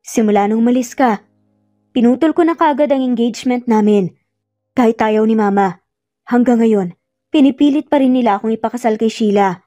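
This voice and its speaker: Lady narrator doing a pleading filipino guy in love, insistent, mellow, romance audio story. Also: fairly high pitch, normal speed, long pauses, no echo, soft background music, close to an everyday voice. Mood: earnest confession. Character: earnest, pleading man pursuing his lost love.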